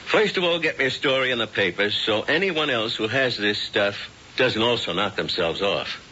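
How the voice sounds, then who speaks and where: Blunt police lieutenant. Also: male voice, fairly low pitch, animated, normal speed, bright, forceful tone, slight background noise. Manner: blunt, businesslike. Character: tough male police lieutenant. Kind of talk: 1970s radio drama acting. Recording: dull old radio broadcast.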